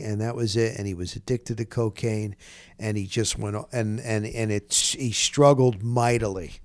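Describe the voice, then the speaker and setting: A man hosting a sports talk show, telling stories in a casual, rambling, conversational way with an animated, exclamatory manner. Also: mid-range voice, dry recording, studio quality.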